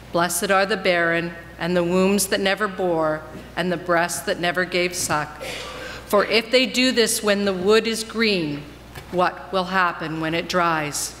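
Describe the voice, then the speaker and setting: A woman reads scripture aloud in a solemn, projected style. Her voice is bright and carries through a long church reverb, with some noise behind it. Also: on the low side, measured pace. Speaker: reader in a church Passion reading. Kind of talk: dramatic scripture reading. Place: large church, before a congregation. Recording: echoing church hall, noticeable noise.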